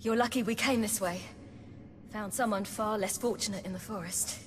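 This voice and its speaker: An actress playing a composed, brave young swordswoman in a video game, brisk and self-assured. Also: medium pitch, steady tone, a little hiss or hum, natural voice. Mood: resolute. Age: young adult. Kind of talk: dramatic video game acting. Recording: long reverb, music behind.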